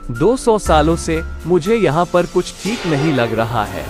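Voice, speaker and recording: Woman playing a low-voiced male crow, impatient, brushes things off, lively pitch, cartoon. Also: measured pace. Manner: brusque, dismissive. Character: male crow, adult husband, dismissive and impatient. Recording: music underneath.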